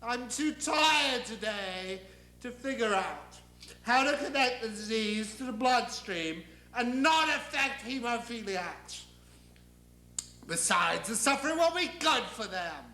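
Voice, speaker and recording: A man's voice: very high-pitched, expressive, slow, pushed and bright, pitched above the performer's own voice, exaggerated voice. A man voicing a petulant, vindictive, whiny God who blusters imperiously, in a satirical stage monologue. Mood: petulant, indignant. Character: petulant, bellowing, vindictive God, male, lazily all-powerful. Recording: echoey room.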